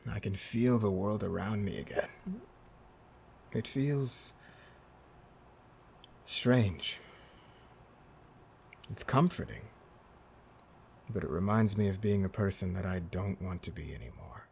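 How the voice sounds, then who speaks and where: An actor playing a remorseful, brooding former pirate in an audio drama, with a gravelly voice and halting, subdued manner. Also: male voice, on the low side, a little mellow, a little hiss or hum. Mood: subdued, uneasy.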